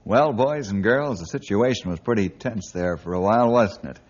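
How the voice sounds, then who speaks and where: A man's voice: fairly low pitch, normal speed, soft background music, natural voice. An actor playing a hearty male ranger leader who also hosts a 1950s radio adventure show. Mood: friendly, upbeat. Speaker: lead character and host. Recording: dull lo-fi 1950s radio recording.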